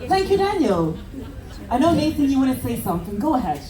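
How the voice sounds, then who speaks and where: A woman's voice: high-pitched, sing-song. Upbeat woman hosting a stage game show. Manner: brisk, upbeat, prompting contestants and audience. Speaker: game show host on a cruise ship. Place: large ship theater with live audience. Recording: echoey theater picked up from far off. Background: audience chatter and applause.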